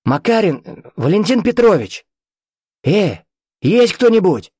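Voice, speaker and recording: A man voicing a frantic, exasperated searcher in an audiobook, with urgent, loud and indignant delivery. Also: lowish voice, animated, brisk, no echo, studio quality. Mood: urgent calling.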